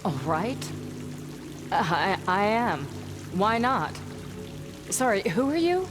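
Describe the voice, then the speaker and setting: Video game professor: fixated, cryptic, distracted, slow and halting, clear music underneath. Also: female voice, mid-range voice, sing-song. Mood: dazed. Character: dazed, obsessed woman professor speaking in riddles. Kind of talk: dramatic video game voice acting.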